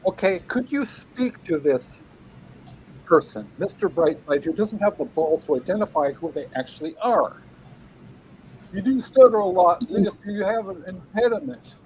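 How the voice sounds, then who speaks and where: High-voiced man in an angry, profane rant. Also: expressive, normal speed, darkish tone, slight background noise, low-quality recording. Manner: angry, profane, repeats himself, stumbling restarts. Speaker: guest writer.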